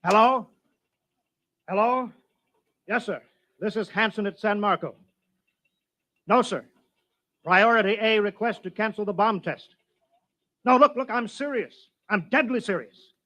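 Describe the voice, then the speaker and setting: An actor playing a tense, commanding control-room chief in a 1950s radio drama, speaking in an urgent, earnest, clipped way. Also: male voice, high-pitched, measured pace, pauses often, sharp tone. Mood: urgent, pleading. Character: tense, commanding man running a test-flight control room. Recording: muffled vintage radio recording.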